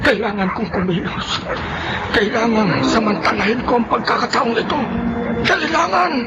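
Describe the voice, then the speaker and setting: A man's voice: very high-pitched, normal speed, over loud music. An actor playing a determined man battling an evil spirit, urgent and emphatic, in a horror radio drama. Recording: noisy radio drama track.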